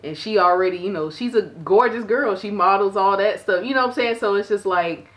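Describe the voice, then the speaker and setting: A woman's voice: mid-range voice, a little fast, fairly bright tone. A woman co-hosting a podcast in casual chat, laid-back, often repeating her points. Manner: laid-back, repeats phrases, frequent "you know what I'm saying". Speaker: podcast co-host.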